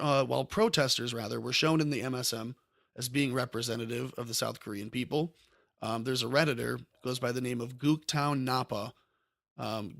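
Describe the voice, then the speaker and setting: Male host, mid-pitched, meandering political commentary in a dead-dry room. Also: vocal fry, a bit bright, no room sound. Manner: rambling, frequent ums and self-corrections. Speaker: podcast host.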